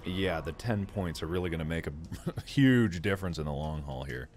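A man's voice: deep voice, moderate pace, a little mellow, a little hiss or hum. A man playing along on voice chat, laid-back and teasing as he chats during a game. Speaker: co-player on voice chat. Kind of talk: casual gaming chat.

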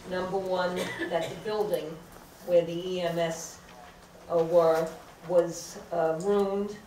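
An older woman gives public comment at a council meeting in a low, unhurried voice, stopping often on fillers as she works through her points. She is heard from the back of a big echoey chamber, with faint room murmur behind her. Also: flat delivery, dark tone, slight background noise. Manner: deliberate, halting with frequent "uh" fillers. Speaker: resident speaking at public comment. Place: large crowded council chamber. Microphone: podium microphone, heard from a far camera. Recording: echoey chamber recorded from far off.

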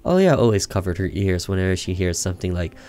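A man reading a story aloud as expressive narration for a game, occasionally stumbling over the words. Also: low voice, fast talker. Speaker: gaming streamer reading a visual novel aloud. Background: music under the voice.

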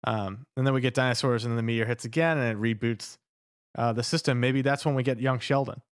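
A man's voice: medium pitch, steady tone, fast talker, smooth, dry recording, clean studio sound. A man musing tentatively as a podcast panelist, speculating in a casual, joking way.

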